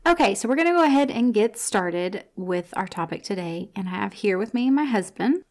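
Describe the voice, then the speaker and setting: A woman's voice: medium pitch, expressive, moderate pace, darkish tone. A woman in her thirties hosting a podcast, talking earnestly and thinking aloud with fillers. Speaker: podcast host. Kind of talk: conversational podcast talk.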